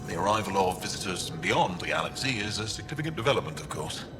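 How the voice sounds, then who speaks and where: Low-voiced formal alien scholar. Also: male voice, lively intonation, music in the background. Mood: courteous, grave. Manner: formal, measured, eloquent. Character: learned, courteous male alien scholar and chronicler. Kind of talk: video game voice acting.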